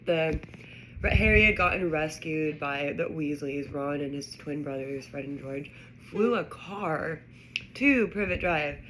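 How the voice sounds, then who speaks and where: Woman, fairly low voice, casual chat, informal asides to a child, home recording, faint noise. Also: fairly bright tone, rough recording. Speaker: storyteller reading a children's book aloud to a child. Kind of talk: casual chat and read-aloud narration.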